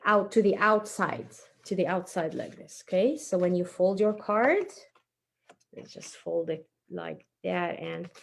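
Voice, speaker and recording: A woman's voice: medium pitch, creaky. Casting: woman, mid-range voice, informal encouraging craft teacher for kids, hands-busy delivery, slightly noisy recording. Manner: informal, encouraging, talking while working with hands. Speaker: craft tutorial presenter. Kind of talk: casual step-by-step craft demonstration for children.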